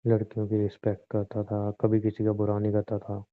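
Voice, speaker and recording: A man's voice: fairly low pitch, monotone, a little fast, close to an everyday voice. A man playing a bitter, controlling young husband in a staged call drama, menacing and commanding. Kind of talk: scripted domestic drama. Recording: tinny phone-line recording.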